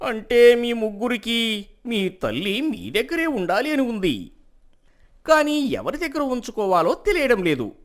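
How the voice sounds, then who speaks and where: Woman acting a witty, theatrical male court jester, very animated. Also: fairly high pitch, a little slow. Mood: amused, assured. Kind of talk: animated moral story dialogue.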